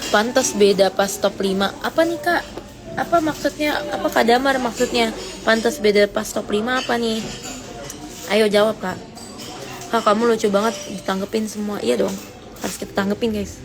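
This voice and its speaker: Teen girl w/ chatting on a phone live, teasing + playful, music going behind her. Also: normal speed. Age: teens. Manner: teasing, playful, rises high when mock-outraged. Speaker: livestream host. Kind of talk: casual live chat reading comments.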